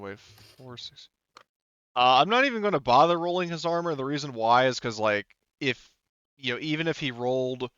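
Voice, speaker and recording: A man with a smooth, clean voice runs a tabletop game, talking through rules and combat. He rambles in broken-off phrases, and the recording is dry and a little dull. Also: mid-range voice, fairly bright tone, no room sound. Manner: rambling, talks in broken-off phrases with frequent 'like' and 'you know'. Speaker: game master.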